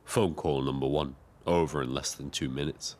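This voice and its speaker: A man with a British accent playing a lonely, watchful taxi driver who narrates an audio drama in a brooding, measured way. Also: very deep voice, steady tone, darkish tone. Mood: brooding, observant. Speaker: narrator and lead character. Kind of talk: scripted first-person audio drama narration.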